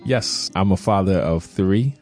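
A man's voice: sits low, measured pace, a little mellow, faint music behind. A middle-aged American man from Brooklyn, speaking conversationally as an interview guest. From Brooklyn, New York. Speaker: interview guest, farmer and author.

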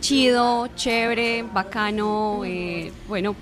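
A woman's voice: medium pitch, slow, over music. A woman guest in an interview, earnest and explanatory, speaking in long flowing answers. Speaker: guest, language exchange organizer. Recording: crowd chatter in the room. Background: crowd chatter.

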